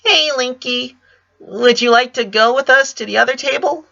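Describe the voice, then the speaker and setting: Storybook big sister, played by a man: airy, sweet, high falsetto-like pitch, lo-fi audio. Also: moderate pace, vocal fry, bright, forceful tone, full character voice. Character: sweet, airy, cheerful ditzy teenage sister. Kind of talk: storybook character voice.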